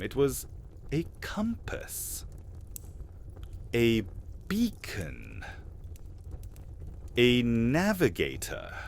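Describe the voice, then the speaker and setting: A wistful, nervous vampire alchemist, voiced by a man, whose pitch swings wildly up and down. He sounds fairly low, and there is a faint hiss behind him. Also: exaggerated voice. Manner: storytelling, wistful, then stammering. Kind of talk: audio drama acting.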